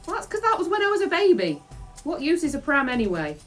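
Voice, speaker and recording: A woman acting out a small storybook prince, a boy with a high-pitched voice. He questions everything in disbelief and protests indignantly. There is faint music behind the voice. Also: wildly swooping pitch, quick pace, recorded from a distance. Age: child. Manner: incredulous, protesting. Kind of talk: children's storybook character voice.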